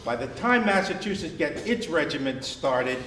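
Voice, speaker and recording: Deliberate American man lecturing, distant and echoey. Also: on the high side, sing-song, a bit bright. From New Jersey, United States. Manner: measured, deliberate, emphatic pauses on key words. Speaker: living-history presenter in Civil War uniform. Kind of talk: educational history talk to a student group. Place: wood-panelled memorial hall, indoors, with student audience. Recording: distant mic, echoey room, background noise.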